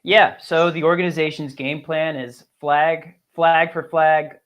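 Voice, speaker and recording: A man's voice: fairly high pitch, flat delivery, measured pace, muffled recording. A man co-hosting a fantasy sports show with casual, wry, joking banter.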